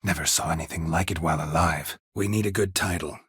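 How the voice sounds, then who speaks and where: A male actor playing a stoic, world-weary monster hunter in a video game, terse and deadpan, with a low gravelly rasp. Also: quick pace, dry recording, clean studio sound, full character voice. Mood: deadpan, businesslike. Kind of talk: video game character dialogue.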